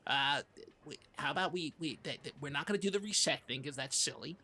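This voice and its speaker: A man's voice: on the high side, brisk, pushed and bright, husky, exaggerated voice. A man playing a flustered, conflict-avoiding small-town podcast co-host, stammering and trailing off in fussy comedy chat. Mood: flustered, exasperated.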